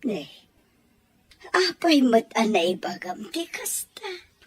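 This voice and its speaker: A woman playing a gentle, concerned elderly mother and grandmother in an audio story drama, with a husky, breathy voice. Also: a little high, sing-song, slow, exaggerated voice. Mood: gently concerned.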